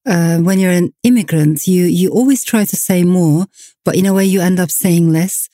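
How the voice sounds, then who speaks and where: A middle-aged woman with a Turkish accent, a novelist answering interview questions in a thoughtful, measured way with soft fillers. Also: on the low side, no room sound, clean studio sound. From Turkey. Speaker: novelist guest. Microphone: remote line from home.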